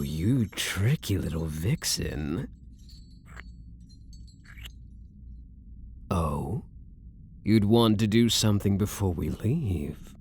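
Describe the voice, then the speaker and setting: A man's voice: on the low side, a little mellow, slight background noise, full character voice. A man playing a possessive, lovestruck elf prisoner in an intimate roleplay, teasing and theatrical, with doting endearments. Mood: enamoured, sly. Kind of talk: intimate ASMR roleplay acting.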